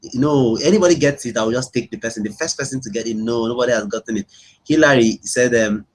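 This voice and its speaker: A man's voice: mid-range voice, quick pace. A man with a Nigerian accent hosting a casual live webinar, chatting with his online audience and often repeating his phrases. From Imo State, Nigeria. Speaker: webinar host, internet marketing coach.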